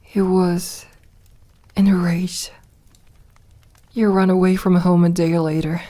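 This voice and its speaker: Slow, soft-spoken lonely vampire woman, acted by a woman. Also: lowish voice, level tone. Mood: sorrowful, regretful. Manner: hesitant, measured, with reflective pauses. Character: lonely, grieving female vampire, solemn and confiding. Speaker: voice actor in an audio roleplay. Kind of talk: soft ASMR roleplay monologue.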